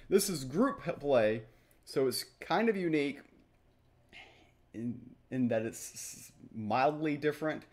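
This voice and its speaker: A young man giving casual, explanatory game commentary in a rambling, matter-of-fact way. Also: medium pitch. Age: young adult. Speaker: gaming video host. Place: small home room, indoors.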